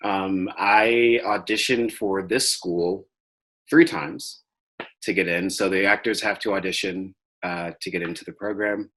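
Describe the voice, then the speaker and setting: A young man with a low but forceful, bright voice talks in an interview. He answers reflectively, as a student describing his own craft. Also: fairly even intonation, a little slow, poor audio. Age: young adult. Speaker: acting student.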